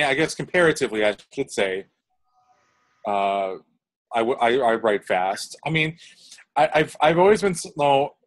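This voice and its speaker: A young man, a composer, answers questions in a loose, chatty way. His voice sits at an ordinary middle pitch. He circles his points with fillers and false starts. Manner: rambling, many restarts and fillers. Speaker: composer interviewee. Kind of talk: casual interview answers.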